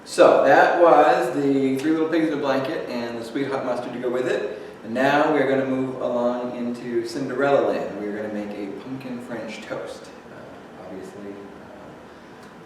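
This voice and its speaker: A man's voice: mid-range voice, a little hiss or hum. A young man, a librarian, casually walking through a recipe step by step in a kitchen, with lots of fillers. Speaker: teen librarian. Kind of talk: cooking demonstration. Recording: echoey kitchen, far mic, clattering dishes.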